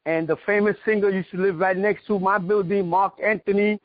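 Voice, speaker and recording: A man in his sixties with a New York accent, reminiscing casually in a halting way and repeating phrases, on dull, dry call audio. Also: high-pitched, steady tone, no echo. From New York, United States. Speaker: interview guest, veteran martial artist.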